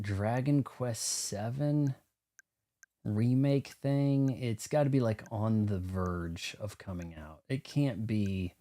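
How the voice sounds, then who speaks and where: Low-voiced man, casual gaming commentary. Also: mellow. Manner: rambling, talking to himself. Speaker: streamer playing a video game. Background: game music.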